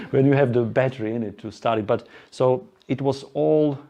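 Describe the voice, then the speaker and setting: Gravelly Slovak-accented man presenting. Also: mid-range voice, warm tone, lo-fi sound. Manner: informal, chatty, halting, with asides and small laughs. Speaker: presenter at an electric car group event. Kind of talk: live presentation to a small audience.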